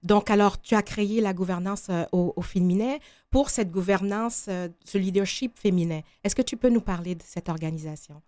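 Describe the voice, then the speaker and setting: A woman's voice: mid-range voice, moderate pace, mellow, no room sound, studio quality. A woman hosting a radio interview show, presenting and questioning her guest.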